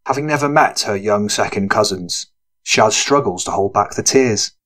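A man's voice: on the low side, normal speed, a bit bright. A man reading scripted narration for a gritty fiction audiobook.

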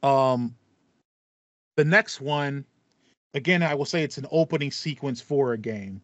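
A man's voice: medium pitch, unhurried, fairly bright tone, no room sound, lo-fi sound. A man in his thirties co-hosting a casual podcast, talking enthusiastically and repeating phrases for emphasis. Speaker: podcast co-host. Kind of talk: casual chat about video games. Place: small home room with foam panels, indoors. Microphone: headset on a video call.

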